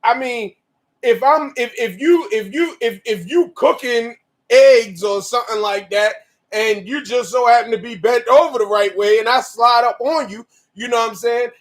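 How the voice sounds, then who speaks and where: Man, forty, very high-pitched, candid, profane, slangy, animated. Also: normal speed. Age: 40s. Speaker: podcast co-host. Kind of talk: candid casual chat.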